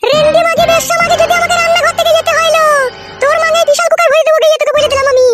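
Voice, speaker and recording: A performer voicing a quarrelsome young village woman in a comedy cartoon, shrill, scolding and cursing in a foul-mouthed fury. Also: level tone, very fast. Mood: scornful, furious. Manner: scolding, cursing, wailing taunts. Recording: music behind the voice.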